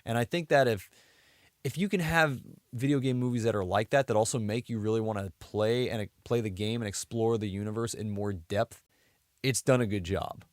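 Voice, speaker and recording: A man's voice: lowish voice, a little fast, no echo, studio quality. A man co-hosting a podcast, chatting casually and conversationally about films. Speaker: podcast co-host. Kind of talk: casual chat about video game films.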